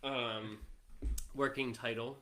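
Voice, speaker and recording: A man's voice: mid-range voice, fairly even intonation, slow, background noise. A man with an American accent, chatting casually as he presents a live stream as co-host. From United States. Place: room in a house.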